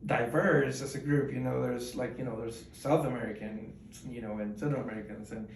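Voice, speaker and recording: A man's voice: medium pitch, steady tone, normal speed, vocal fry, a little hiss or hum. A man in his twenties from Ecuador, answering interview questions in a rambling, casual way with many fillers and restarts. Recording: echoey room, mic at a distance.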